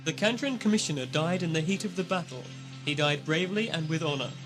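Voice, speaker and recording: A man's voice: a little high, fast, music in the background, close to an everyday voice. A man playing a formal, honourable alien ambassador with a dry, stately manner in a sci-fi audio drama. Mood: dignified.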